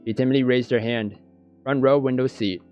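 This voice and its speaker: A man reading a visual novel aloud in a let's play, breaking off for halting, stammering reactions. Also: mid-range voice, fairly even intonation, darkish tone, smooth. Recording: dull lo-fi audio. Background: guitar background music.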